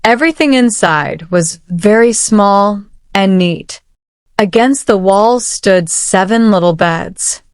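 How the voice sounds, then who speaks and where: Slow, measured woman reading a children's story. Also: medium pitch, smooth, no echo, clean studio sound. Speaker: storybook narrator. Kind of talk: children's read-aloud storytelling. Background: soft music.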